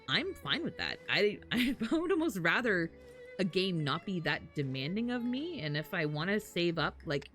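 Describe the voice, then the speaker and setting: A woman livestreaming games, chatting casually and animatedly with her viewers and swearing now and then. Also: fairly low pitch. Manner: animated, swears casually, chuckles while talking. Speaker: game livestreamer. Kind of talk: casual chat with stream viewers. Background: faint game music and character voice lines.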